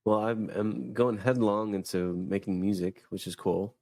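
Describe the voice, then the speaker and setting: Casting note: man in his thirties, very low gravelly voice, dry close sound, easygoing rambling podcast host. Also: fairly even intonation, unhurried, a little mellow, dry recording. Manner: rambling, many restarts and fillers. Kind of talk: casual chat.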